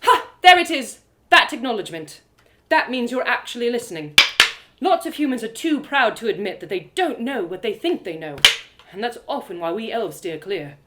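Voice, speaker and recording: A woman's voice: fairly high pitch, sing-song, normal speed, heavy background noise. A woman voicing a proud, spirited young female elf warrior who lectures emphatically in an audio drama. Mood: excited, exasperated.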